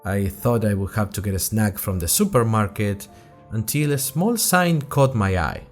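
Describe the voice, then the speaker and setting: Young male student journalist played by a male actor, animated and wry, chatty podcast storytelling with music underneath. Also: lowish voice, normal speed, lightly acted. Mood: chatty, curious. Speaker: fictional podcast host and narrator. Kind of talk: scripted first-person audio drama narration in chatty podcast form.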